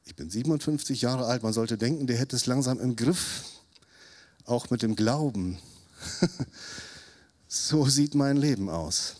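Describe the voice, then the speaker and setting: A man in his fifties preaching a sermon, animated, acting out quotes with a raised voice. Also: medium pitch, measured pace, pauses often. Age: 50s. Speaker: pastor.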